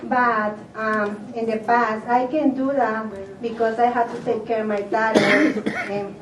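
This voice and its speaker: An older woman from Mexico, giving emotional, halting thanks. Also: on the high side, little pitch movement, recorded from a distance, heavy background noise. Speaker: award recipient. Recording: echoey chamber audio from afar.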